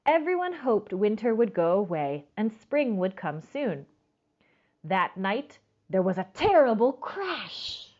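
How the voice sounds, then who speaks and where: Woman storytime reader, expressive and lively in pitch, addresses children directly, slightly dull lo-fi recording. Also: slow pace. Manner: expressive, stretches words for emphasis. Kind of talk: children's storybook read-aloud with asides to listeners.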